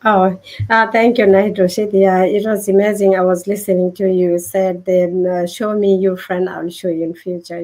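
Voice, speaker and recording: A woman with a Bangladeshi accent speaking non-native English, giving an unscripted motivational testimony as a panel guest, halting and repeating phrases. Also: medium pitch, a little mellow. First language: not English.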